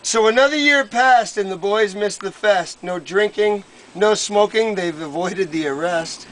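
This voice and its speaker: A man reading a comic rhyming poem aloud to friends in a measured storybook cadence, on an amateur live recording. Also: sits high, fairly bright tone, poor audio. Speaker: poem reader.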